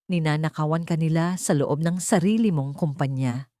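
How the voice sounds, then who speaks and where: A woman's voice: low-pitched, normal speed, dark tone, no echo, natural voice. Woman reading as a determined vengeful guy ally in an audio story, dry recording. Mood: grim, reassuring.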